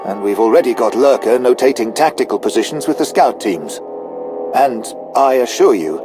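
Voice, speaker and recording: Man acting alien officer, mid-pitched, formal, precise, anxious to prove loyalty, game dialogue, faint music. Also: natural voice. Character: non-human military officer, anxious, deferential, eager to prove loyalty. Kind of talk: scripted video game dialogue.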